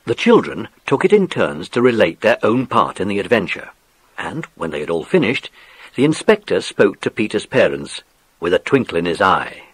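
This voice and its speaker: Animated low-pitched male storyteller. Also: normal speed, slightly rough, no echo, rough recording. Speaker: narrator. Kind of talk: scripted children's story narration.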